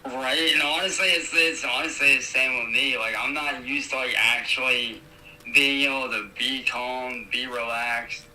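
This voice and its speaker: A man's voice: medium pitch, fairly even intonation, unhurried, pushed and bright. A young man chatting casually and slangily on an echoey, distant, noisy phone recording. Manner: slangy, swearing. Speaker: caller.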